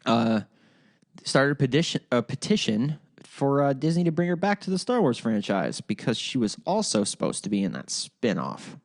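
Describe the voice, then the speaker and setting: A man's voice: medium pitch, sing-song, normal speed, no room sound, clean studio sound. Rambling Ohio-accented man, podcast host. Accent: Ohio, Midwestern. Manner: rambling, profane, full of fillers and restarts. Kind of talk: casual solo podcast chat.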